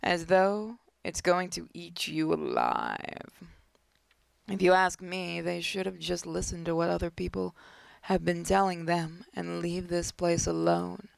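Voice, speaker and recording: A woman's voice: fairly low pitch, animated, measured pace, gravelly, smooth, dry recording. A woman hosting a gaming video, reading visual novel text aloud expressively with casual commentary.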